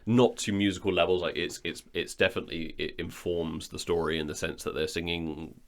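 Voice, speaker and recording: A man's voice: deep voice, fairly even intonation, brisk. A man chatting casually as a co-host, in a rambling, wry, joking way. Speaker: audio drama maker, co-host. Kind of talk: casual studio chat about productions.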